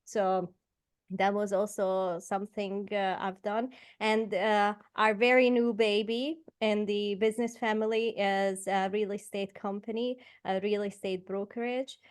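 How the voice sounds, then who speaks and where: A Hungarian-accented woman in her forties talks through her career as a podcast guest. Her speech halts often on fillers and restarts. Her voice sits at a middle pitch for a woman. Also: level tone, a little slow, clean tone. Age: 40s. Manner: hesitant, many fillers and restarts. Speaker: guest entrepreneur. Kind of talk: podcast interview.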